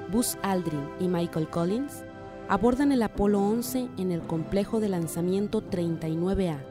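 A woman reading scripted documentary narration as the narrator. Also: mid-range voice.

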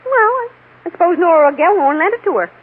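An actress playing a gossipy, middle-aged American housewife, garrulous and self-important, in 1940s radio drama style. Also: female voice, extremely high pitch, expressive, fast talker. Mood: confiding. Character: gossipy, nosy, fluttery housewife and eager neighbourhood witness. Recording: muffled, narrow-band old radio recording.

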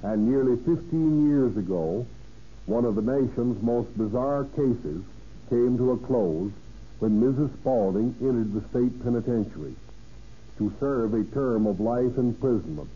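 A man reading scripted 1930s radio narration in a formal, measured manner as a police chief narrator, on an old radio recording. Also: medium pitch, lively intonation, breathy edge, dull audio.

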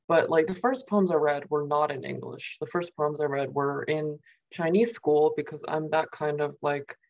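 A woman's voice: low-pitched, steady tone, moderate pace, a little mellow, lo-fi sound. A young woman from New York, talking casually as an interview guest and filling her speech with "like" and "um". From New York, USA. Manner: rambling, many fillers and "like". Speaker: guest poet.

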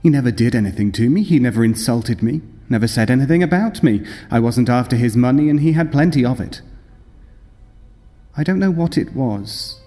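A man acts out an obsessive, nervous madman in an old-time radio horror play. He justifies himself with intense, deliberate insistence, his voice in long reverb with music underneath. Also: mid-range voice, animated, mellow, natural voice. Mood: nervous, insistent.

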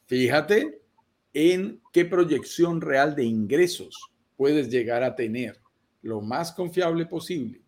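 A man giving investment advice on a live video call, measured and persuasive, laying out his points as lists. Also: mid-range voice. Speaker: real estate investment advisor. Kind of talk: explanatory live-stream talk.

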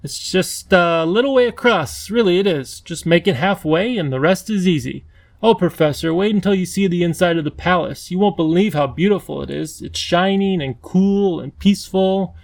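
A man's voice: high-pitched, normal speed, gravelly, voice pushed up. A man voicing a brave, earnest young girl who urges and coaxes in a storybook reading. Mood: urgent, coaxing. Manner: pleading, eager.

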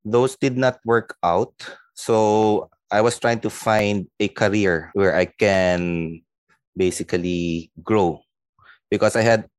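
A man's voice: on the low side, steady tone, slow pace, clean tone, dry recording. A middle-aged man with a Filipino accent, chatting casually as an interview guest. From Philippines. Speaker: sales lead, interview guest.

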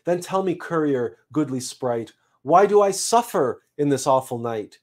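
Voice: medium pitch, animated, measured pace. A performer voicing a bewildered, gloomy seed who pleads courteously in archaic rhyming verse. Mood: pleading. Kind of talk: storybook verse recital.

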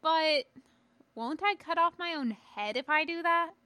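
A cheeky young boy apprentice, played by a woman speaks up eagerly in a high voice. It is character dialogue from a space-fantasy audiobook. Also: unhurried, bright, forceful tone, no echo.

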